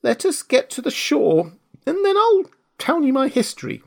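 Shrill, indignant little mouse. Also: male voice, huge pitch swings, moderate pace, gravelly, exaggerated voice. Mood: trembling, passionate. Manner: trembling, outraged. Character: small male mouse, indignant, passionate, easily offended. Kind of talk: storybook character voice.

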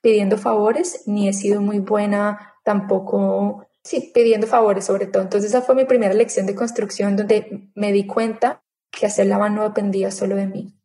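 A woman in her thirties talks through her story in a relaxed interview. Her voice is mellow and warm, at an everyday pitch, and she slips into little quoted asides of what she thought back then. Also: moderate pace, a little hiss or hum. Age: 30s. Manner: chatty, animated retelling with quoted inner thoughts. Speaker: interview guest.

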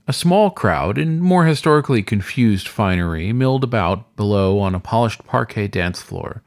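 A man reading a story aloud as scripted audiobook narration, in an expressive storytelling manner. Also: medium pitch, no room sound, clean studio sound. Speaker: story reader.

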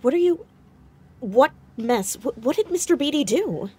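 Anxious, high-voiced teenage sleuth. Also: female voice, lively intonation, a little slow, heavy background noise. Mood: confused, alarmed. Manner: hesitant, stammering yet insistent. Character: anxious, determined teenage girl amateur sleuth. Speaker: actor in an audio drama. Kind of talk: naturalistic audio drama acting.

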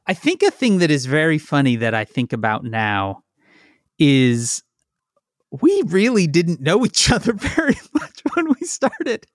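Man, podcast co-host, unhurried delivery, dry close mic. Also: on the high side, huge pitch swings, no room sound. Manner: slow, easygoing, reads listener questions aloud. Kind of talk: casual chat.

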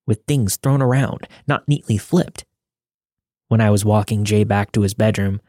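A man reading a listener's story as scripted podcast narration, in a measured, matter-of-fact way. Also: fairly low pitch, mellow, no room sound, clean studio sound.

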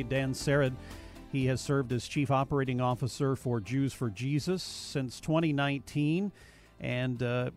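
A man's voice: medium pitch, darkish tone. A man sitting in as host of a radio talk show, introducing his guest in a friendly, conversational way.

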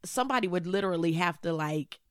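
A woman's voice: fairly low pitch, animated, fast talker, no room sound, studio quality. A woman co-hosting a podcast in casual chat, outgoing and sassy, often finishing her partner's sentences. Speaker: podcast co-host.

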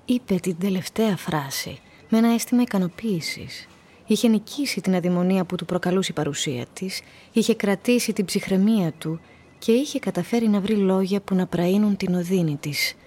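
An actress as the composed narrator of a radio play, reading literary prose. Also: female voice, medium pitch, mellow, slightly rough, close to an everyday voice. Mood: reflective.

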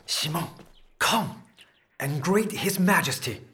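An actor playing an anxious, servile archive official in a radio drama, obsequious and flustered. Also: male voice, on the high side, lively intonation, slow, bright, forceful tone, a little hiss or hum, exaggerated voice. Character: servile, anxious male archive official, humble before royalty.

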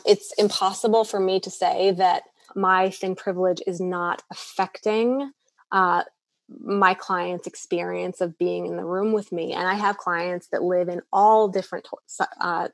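A woman's voice: lowish voice, steady tone, measured pace, no room sound. A woman clinician answering interview questions earnestly, with frequent restarts and fillers. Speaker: eating disorder clinician, guest.

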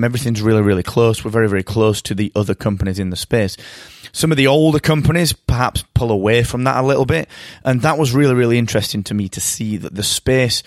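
A British man hosting his own podcast, talking alone from a hotel room in an upbeat, enthusiastic way. His voice is a little croaky from tiredness, and he often repeats words as he talks. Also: on the low side, no room sound, clean studio sound. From Britain. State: slightly croaky voice. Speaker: podcast host. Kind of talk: solo podcast monologue.

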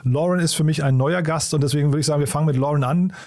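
A man's voice: on the high side, very fast, darkish tone. A man hosting a podcast, talking in an informal, enthusiastic, conversational way with guests. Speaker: podcast host.

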